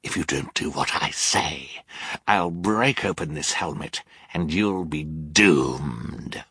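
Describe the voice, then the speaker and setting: A man voicing an arrogant, menacing male storybook villain who growls and sneers in a drawling, gravelly voice. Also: extremely low pitch, slow, pushed and bright, no room sound, clean studio sound, heavily acted.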